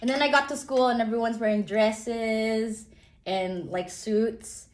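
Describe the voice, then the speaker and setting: A woman's voice: a little high, steady tone, measured pace, slight background noise. A woman in her twenties who works as a theater performer, telling reflective stories about growing up and laughing often in a casual family chat. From Canada. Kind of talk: casual family chat in mixed English and Tagalog. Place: home room, roomy. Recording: roomy home room echo.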